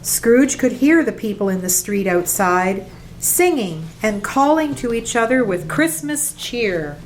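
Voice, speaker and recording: A woman narrating a radio play in a measured storybook manner. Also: fairly high pitch, lively intonation, slight background noise.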